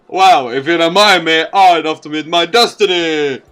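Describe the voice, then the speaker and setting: A man's voice: a little high, moderate pace, a bit bright, clear, resonant tone, natural voice. A man voicing a vain, theatrical young game character who boasts grandly, with music behind. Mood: boastful.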